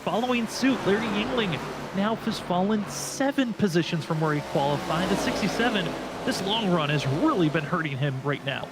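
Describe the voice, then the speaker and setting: A man with a slightly high-pitched voice commentates live on a motor race. He works as the play-by-play caller. Loud engine and vehicle noise runs behind his voice. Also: expressive, normal speed. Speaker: play-by-play sim racing commentator.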